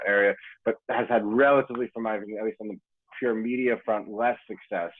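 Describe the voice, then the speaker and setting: A man giving halting, discursive analysis as a foreign policy panelist, heard over a muffled, echoey online call. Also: on the low side.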